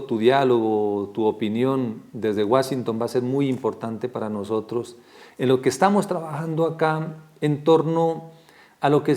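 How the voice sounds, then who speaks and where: A man's voice: mid-range voice, a little mellow. A man hosting an interview program, speaking in a formal, wordy way with long introductions. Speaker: program host.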